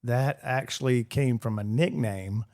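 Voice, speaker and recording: A man's voice: medium pitch, measured pace, darkish tone, dry recording, clean studio sound. A man from Georgia, a radio DJ chatting casually in an interview, rambling and repeating his words. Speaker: radio DJ guest.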